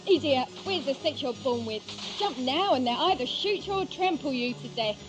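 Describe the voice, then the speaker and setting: A woman playing a proud, feisty young gentlewoman in a period audio drama, clipped and formal. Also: high voice, animated, moderate pace, over music, rough recording. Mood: urgent, sharp.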